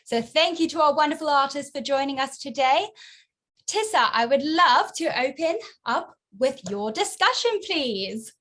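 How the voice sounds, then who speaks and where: Female moderator, slightly high voice, formal and measured while reading introductions. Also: animated, fairly bright tone.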